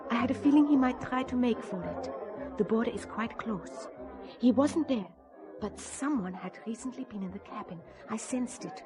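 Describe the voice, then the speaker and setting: A lonely, lovelorn woman of a spy audio drama, played by an actress, speaks in a fairly high voice. She is guarded and sensitive, choosing formal words with earnest care. Music plays clearly behind her. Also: expressive, moderate pace, crowd noise, natural voice. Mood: wistful, confiding. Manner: formal, earnest, careful phrasing.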